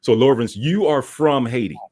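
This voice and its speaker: A man's voice: mid-range voice, lively intonation, moderate pace, darkish tone, a little hiss or hum. A man hosting an interview, asking follow-up questions and giving short affirmations. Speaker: host.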